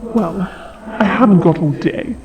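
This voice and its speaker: Man voicing a high-pitched, haughty, sardonic dragon. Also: a little slow, a crowd in the background, rough recording. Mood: mocking. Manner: sarcastic, taunting, theatrical delivery. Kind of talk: audio roleplay acting. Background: fantasy game-style music.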